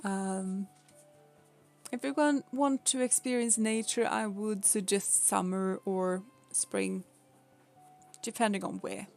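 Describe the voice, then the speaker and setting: A woman's voice: mid-range voice, steady tone, slow, darkish tone, soft background music. A young woman from Sweden with a Swedish accent, chatting casually with viewers on a live stream, laughing often and explaining things patiently.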